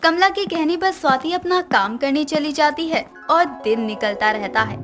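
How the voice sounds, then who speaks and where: High-voiced woman narrating a story. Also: animated, moderate pace, fairly bright tone, soft background music. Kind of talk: scripted moral-story narration.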